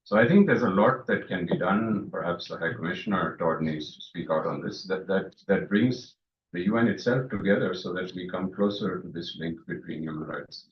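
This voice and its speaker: A man's voice: deep voice, moderate pace. A man from India, a scholar activist, making a direct, critical comment from the floor of a panel. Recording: echoey hall from far off, dull.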